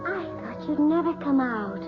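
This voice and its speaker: A woman's voice: high voice, lively intonation, over music. A blunt, skeptical girlfriend. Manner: brisk, scolding, no-nonsense. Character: blunt, skeptical female friend. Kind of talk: 1970s radio drama acting. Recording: muffled old radio broadcast.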